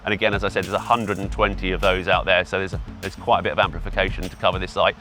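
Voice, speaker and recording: A middle-aged man with a fairly low voice answers interview questions informally, explaining his work. Faint music sits behind him. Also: little pitch movement, very fast, pushed and bright. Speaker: event PA hire company representative, interviewee.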